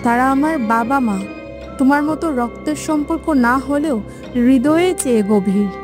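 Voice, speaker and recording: A woman voicing a sorrowful, gentle young princess in a cartoon fairytale, earnest and plaintive. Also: sits high, moderate pace, over music, close to an everyday voice.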